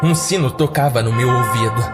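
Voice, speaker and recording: A man narrates a horror story as a scripted podcast piece. His voice sits at an average pitch, delivered dramatically, with clear music running underneath. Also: fairly quick.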